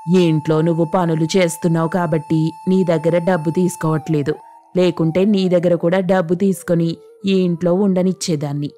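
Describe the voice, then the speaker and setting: Woman acting a bossy, money-grubbing mother-in-law, low mellow voice. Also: steady tone, normal speed, faint music behind, natural voice. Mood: scolding. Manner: scolding, demanding, sharp-tongued. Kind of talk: dramatic Telugu moral story cartoon dialogue.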